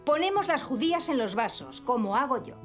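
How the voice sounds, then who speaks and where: Casting: kind, patient cartoon nursery teacher, Castilian Spanish, slightly high, animated delivery. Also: female voice, fairly quick, soft background music. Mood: encouraging. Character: encouraging female preschool teacher, kind and patient. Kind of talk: children's cartoon dub acting.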